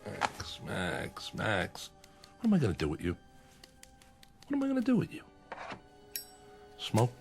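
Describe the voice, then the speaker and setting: An actor playing a menacing bookmaking boss in a radio crime drama, with weary sarcasm and veiled threats. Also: male voice, lowish voice, wildly swooping pitch, a little slow, vocal fry, pauses often, faint music behind, heavily acted. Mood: quiet menace. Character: menacing, sardonic male gangland bookmaking boss. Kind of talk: old-time radio crime drama acting.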